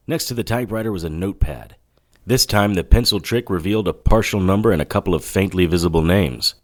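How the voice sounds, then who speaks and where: An actor playing a wry, hardboiled 1940s private detective, narrating in dry, clipped noir style. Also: male voice, deep voice, noisy background. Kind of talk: noir first-person scripted narration.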